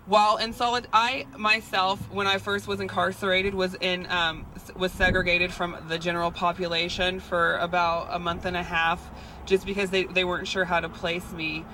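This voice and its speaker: A woman with a soft, feminine voice giving an earnest, hesitant speech at an outdoor rally, with frequent ums. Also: fairly low pitch, low-quality recording. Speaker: rally speaker. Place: outdoors at a rally near roadway. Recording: outdoor rally with traffic noise. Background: passing cars.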